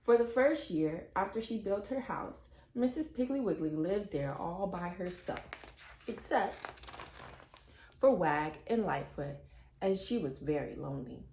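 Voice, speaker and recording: A woman reading a children's chapter book aloud as an expressive narrator. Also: fairly low pitch, a little slow, echoey room.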